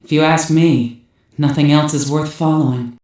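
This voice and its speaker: A woman's voice: deep voice, steady tone, normal speed, mellow, recorded from a distance, close to an everyday voice. A woman playing a cool, authoritative cyborg special-operations commander in an English-dubbed anime game, clipped and composed. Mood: resolute. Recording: roomy heavy echo, off mic.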